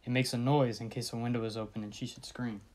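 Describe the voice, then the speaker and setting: A man's voice: medium pitch, level tone, quick pace, darkish tone, lightly acted. A man playing a cold, businesslike middleman arranging a crime by phone in a radio thriller. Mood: cold, careful. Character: cold, orderly middleman planning a murder by phone. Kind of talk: old-time radio thriller acting.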